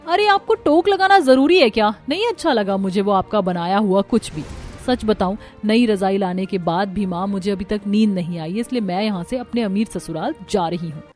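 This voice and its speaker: A woman's voice: medium pitch, expressive, moderate pace, darkish tone, faint music behind, natural voice. A woman voicing a spoiled, snappy young married daughter in a cartoon story, petulant and scolding. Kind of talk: Hindi cartoon story dialogue.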